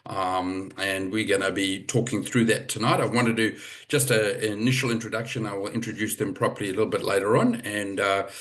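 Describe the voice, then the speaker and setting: A middle-aged man from Zimbabwe hosting a live property webinar over a video call, chatty and relaxed, with many fillers and restarts. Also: lowish voice, brisk, few pauses. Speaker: webinar host.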